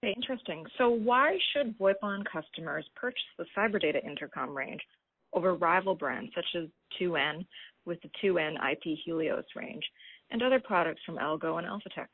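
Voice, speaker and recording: Low, slightly husky woman on the phone. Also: brisk, no echo. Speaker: interviewer. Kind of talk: prepared interview questions. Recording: narrow muffled phone line.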